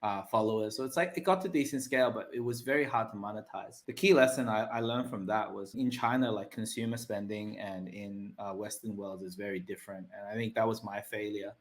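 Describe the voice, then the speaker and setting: A man speaks as a guest in a relaxed interview, his voice sitting a little low. He talks in a loose, conversational way and often tags his points with a quick question. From Australia. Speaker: podcast guest. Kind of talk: casual interview talk.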